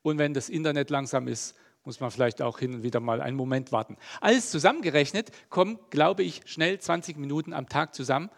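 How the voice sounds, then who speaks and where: A middle-aged man from East Germany preaching a relaxed, conversational sermon, chatty and loose with plenty of fillers. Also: fairly high pitch, fast, creaky, hardly any pauses.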